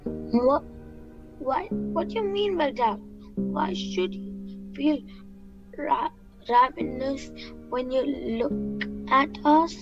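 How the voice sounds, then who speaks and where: A woman's voice: high-pitched, expressive, takes it slowly, pauses often. A woman playing a frightened, questioning young boy in a student radio play, urgent and stumbling. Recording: dull lo-fi sound with music behind.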